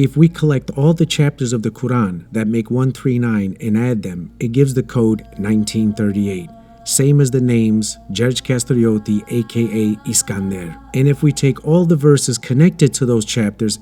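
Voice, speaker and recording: A man delivering scripted educational narration in a deliberate, emphatic, explanatory manner. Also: medium pitch, mellow. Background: background music.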